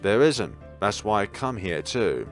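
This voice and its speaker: Guy doing a blunt deadpan anime game schoolgirl, curious and rambly, pitch moves around, light music under it. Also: measured pace. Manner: deadpan, straightforward, rambling musings.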